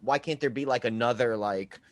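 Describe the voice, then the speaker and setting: A man hosting a podcast, chatting casually about films in halting phrases that often circle back. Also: medium pitch, dry recording. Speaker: podcast host. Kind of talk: casual film chat.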